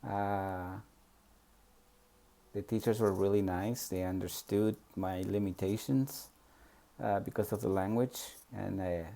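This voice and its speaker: Soft-spoken man, gravelly and dark. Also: fairly low pitch, fairly even intonation, slow pace. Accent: Spanish. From Peru. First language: Spanish. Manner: soft-spoken, hesitant, many fillers. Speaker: memoir storyteller. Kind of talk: personal reminiscence.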